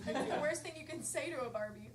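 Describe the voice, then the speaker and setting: High-voiced woman doing improv comedy. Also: expressive, over a noisy crowd. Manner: hesitant, drawn-out phrasing. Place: theatre stage. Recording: echoey stage, faint noise.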